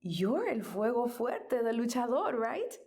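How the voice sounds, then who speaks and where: An actress playing a shy, starstruck young museum worker in an audio drama, hesitant and apologetic. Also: female voice, on the high side, sing-song, a little slow, a little mellow. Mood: flustered, smitten.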